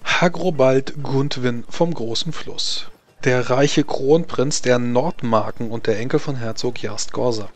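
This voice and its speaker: A man with a mid-pitched voice runs a tabletop roleplaying game. He narrates haltingly, setting out scenes as game master. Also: soft background music.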